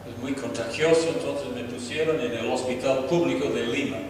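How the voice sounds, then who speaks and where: An older man, a native English speaker from Australia, gives a personal testimony as a guest preacher in a second language, deliberately and with searches for words, pausing for an interpreter. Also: medium pitch. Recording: echoey hall, distant mic, noisy.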